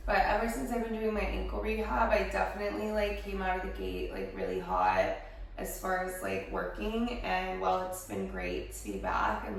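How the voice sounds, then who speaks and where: A young woman vlogging from her home studio, a little hoarse from a head cold, chatting casually with lots of fillers. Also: medium pitch, recorded from a distance, slight background noise. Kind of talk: casual chat to camera. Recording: echoey room, voice off the mic.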